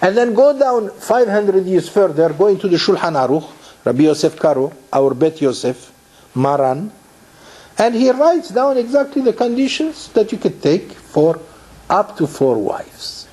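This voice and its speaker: A man from Morocco delivers a Torah lecture in a mellow, fairly high voice. He often restarts his phrases and poses questions to his listeners. He sounds middle-aged. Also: big pitch swings, unhurried, low-quality recording. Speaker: rabbi.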